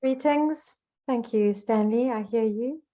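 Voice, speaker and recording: A woman's voice: on the high side, slow pace. Enthusiastic woman. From Zambia. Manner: enthusiastic and warm, with filler ums. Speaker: NGO founder, course participant. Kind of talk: self-introduction on a video call.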